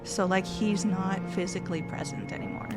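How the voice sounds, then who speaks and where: A young woman talks through a puzzle game as she plays, musing to herself and reading passages aloud. Her pitch is mid-range and her tone conversational. Game music plays clearly under her. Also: steady tone, normal speed. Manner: thinks aloud, reads game text aloud. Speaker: streamer playing a game. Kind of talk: casual gameplay commentary.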